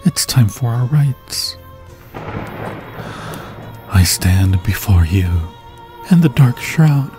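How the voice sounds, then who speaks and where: Slow, sinister ghost lover acted by a man. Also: medium pitch, expressive, music in the background. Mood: tender, sinister devotion. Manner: theatrical, slow, archaic solemn phrasing. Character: obsessive undead ghost lover, male, menacing, tenderly possessive. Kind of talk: ASMR fantasy roleplay acting.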